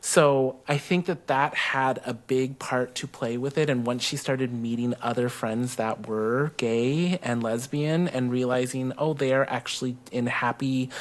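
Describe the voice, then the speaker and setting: Male, middle-aged, American accent, mid-pitched voice, animated conversational storyteller for an interview podcast. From South Texas, USA. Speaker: guest co-host.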